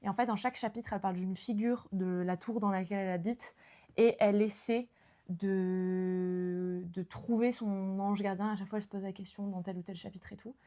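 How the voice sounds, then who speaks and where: A woman's voice: medium pitch, fairly even intonation, a little fast, mellow. Young woman streamer, casual book chat, rambling with fillers. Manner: chatty, lots of fillers and restarts. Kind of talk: casual chat about books.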